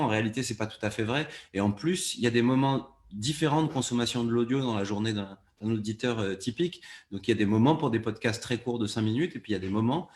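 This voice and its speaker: A man with a fairly low voice takes part in a panel discussion as a producer. He explains his thinking off the cuff, circling back and repeating words as he builds each point. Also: reverberant. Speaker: panelist, fiction podcast producer.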